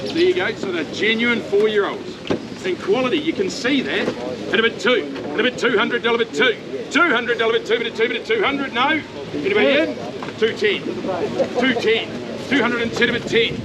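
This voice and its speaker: High-voiced New Zealand auctioneer chanting bids. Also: male voice, expressive. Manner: rapid repetitive chanting of bids, coaxing the buyers. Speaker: livestock auctioneer. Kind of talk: auction bid calling. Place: outdoor livestock saleyard. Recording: loud crowd and livestock noise at an outdoor sale.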